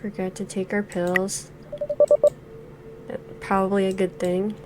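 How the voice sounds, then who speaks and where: A woman's voice: mid-range voice, level tone, slow, heavy background noise. A woman in her forties giving thoughtful, hesitant let's play commentary with many fillers while reviewing a game. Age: 40s.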